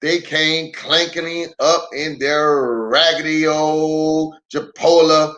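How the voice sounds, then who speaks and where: A man reading a children's story aloud in an expressive storytelling style. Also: fairly high pitch, rough recording.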